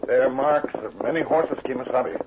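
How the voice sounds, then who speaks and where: An actor playing a loyal sidekick and tracker in a 1950s radio western, speaking terse broken English. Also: male voice, mid-range voice, brisk, natural voice. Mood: observant. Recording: muffled old radio broadcast, clearly noisy.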